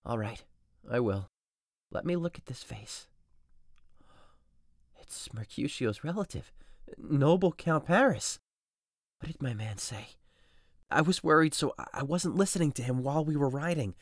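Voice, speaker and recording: A man playing a desperate, grief-stricken young husband in a dramatic audio drama, intense and swinging between hushed menace and anguish. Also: a little high, lively intonation, unhurried, pauses often, dry recording, studio quality. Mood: hushed, sorrowful. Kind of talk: dramatic audio drama acting, modernized Shakespeare.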